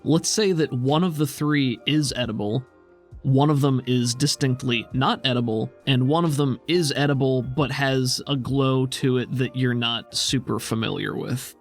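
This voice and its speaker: A man narrating an improvised tabletop game as game master, explaining the scene in measured descriptions. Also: mid-range voice. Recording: music behind the voice. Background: music.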